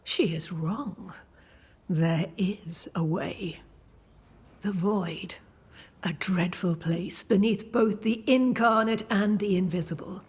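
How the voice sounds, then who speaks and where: An actress playing an enigmatic witch leader in a video game, breathy and measured, giving cryptic, ominous guidance. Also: mid-range voice, darkish tone. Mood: solemn, ominous. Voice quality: breathy, husky. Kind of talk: dramatic video game acting.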